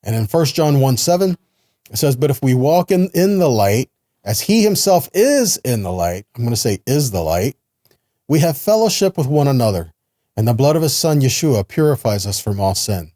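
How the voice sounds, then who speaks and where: A man's voice: medium pitch, sing-song, moderate pace, mellow, no room sound, clean studio sound. A man teaching a sermon in an earnest, explanatory way, reading scripture and stressing points with rhetorical questions. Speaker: preacher and Bible teacher.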